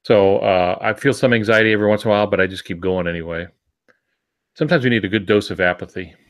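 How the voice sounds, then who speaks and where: A man's voice: fairly low pitch, quick pace. An older man co-hosting a casual podcast discussion about writing. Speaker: podcast co-host and writer.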